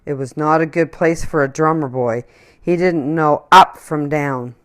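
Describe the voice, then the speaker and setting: A woman reading a children's picture book aloud in an expressive storytelling manner. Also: sits low, unhurried.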